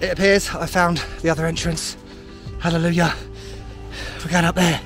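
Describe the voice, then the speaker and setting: A chatty man in his thirties with a fairly high voice films himself outdoors at arm's length, gushing about the sights. Music plays clearly beneath his talk, with street traffic around him. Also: moderate pace. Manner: chatty, excitable, playful asides. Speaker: travel vlogger. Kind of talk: casual travel vlog, talking to camera. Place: outdoors on sunny town streets. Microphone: handheld camera at arm's length.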